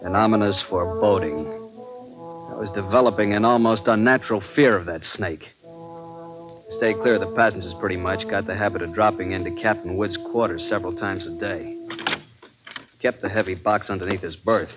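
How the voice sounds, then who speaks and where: A man's voice: fairly low pitch, moderate pace, lightly acted. An actor playing an uneasy seagoing man who narrates his dread in a brooding, suspenseful old radio drama style. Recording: dull vintage radio recording with music behind.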